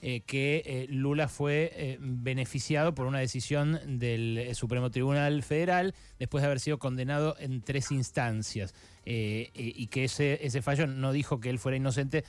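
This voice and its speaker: A man hosting a radio interview, speaking haltingly with many filler sounds and restarts. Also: medium pitch, steady tone. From Argentina. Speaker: radio host.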